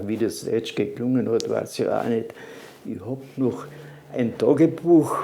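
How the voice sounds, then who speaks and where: An elderly man with a Carinthian accent remembers his past in an unhurried interview. His voice is dark and mellow, with a heavy gravelly fry. He often stops mid-phrase and starts over, and his first language is Slovene. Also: medium pitch. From Carinthia, Austria. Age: 80s. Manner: halting, with restarts and fillers. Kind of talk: reminiscing interview.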